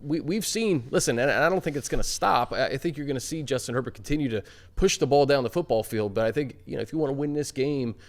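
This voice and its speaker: American guy, mid-pitched voice, hosting a football podcast, casual chat. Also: fast. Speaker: sports podcast host.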